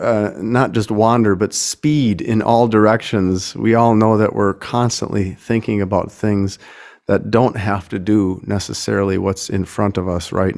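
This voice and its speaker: An American man giving an informal, reflective talk, thoughtful and halting as he searches gently for words. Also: lowish voice. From United States. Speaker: guest singer-songwriter speaking to a congregation.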